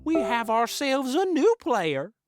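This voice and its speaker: A woman's voice: sits high, big pitch swings, slow pace, heavily acted. A woman voicing a cocky, crazy old male poker champion of the Old West, with a folksy, theatrical drawl. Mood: cocky, eager. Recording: loud music under the voice.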